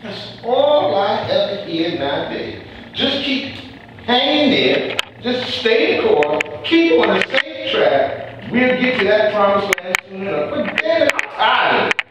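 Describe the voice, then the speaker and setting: A man's voice: sits high, sing-song, unhurried, music in the background. An actor in a staged reading, playing an impatient, fed-up man who speaks in an impassioned, indignant way. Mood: defiant. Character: fed-up, impatient man demanding his share. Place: theater before a live audience. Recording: echoey room, faint background noise.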